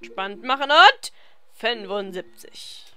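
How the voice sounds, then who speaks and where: A woman's voice: a little high, sing-song, slow pace, sharp tone, clean tone. A young woman doing casual, playful let's play commentary, bantering in a silly, giggly way. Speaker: let's player. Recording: game music behind the voice. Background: video game music.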